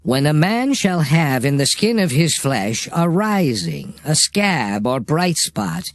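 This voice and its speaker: A male actor plays a solemn divine lawgiver in a dramatized Bible reading. The voice sits fairly high and moves through very lively rises and falls, declaiming each decree formally, in a dry, close recording. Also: takes it slowly, dry recording. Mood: solemn, commanding. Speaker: dramatized scripture reader.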